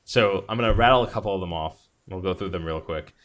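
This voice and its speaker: A man with a fairly low voice talks casually as a podcast host. He rambles enthusiastically through his thoughts, in the loose style of a movie review chat. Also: fast, a bit bright.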